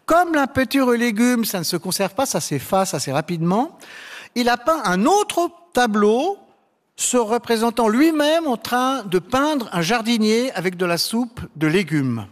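A man's voice: very high-pitched, lively intonation, unhurried. A man giving humorous mock explanations as a game show panelist, with hesitant asides. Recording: reverberant hall.

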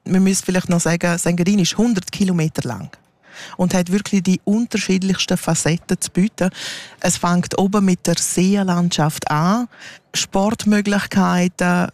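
A woman's voice: on the low side, fairly even intonation, moderate pace, a little mellow, dry recording. Woman explaining her valley. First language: Romansh. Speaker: guest, regional radio editor. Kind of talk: casual interview.

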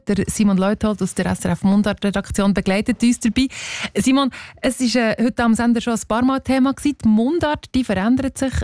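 A woman's voice: mid-range voice, a little mellow, no echo, studio quality. A middle-aged woman with a Swiss German accent, hosting a radio show in a chatty, questioning way. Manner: chatty, asks questions, laughs easily. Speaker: radio host. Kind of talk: casual radio chat.

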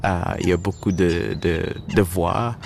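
A man's voice: low-pitched, steady tone, a little slow, creaky, a little mellow, a little hiss or hum. A man, a Shona speaker, answering interview questions hesitantly and searching for his words in French. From Zimbabwe. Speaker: musician guest.

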